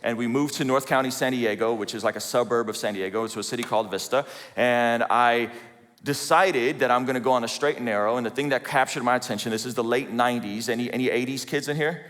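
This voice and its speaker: A man's voice: medium pitch, quick pace, fairly bright tone. Joking man telling his story, hall reverb. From Azerbaijan. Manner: joking, self-deprecating storytelling. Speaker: conference speaker. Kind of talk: personal story talk to a conference audience. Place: large conference hall.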